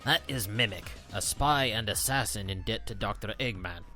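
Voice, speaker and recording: Guy doing a gullible prison warden, bright forceful voice, earnest and excitable, comic dub. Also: lowish voice, moderate pace, soft background music. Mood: grave, explanatory.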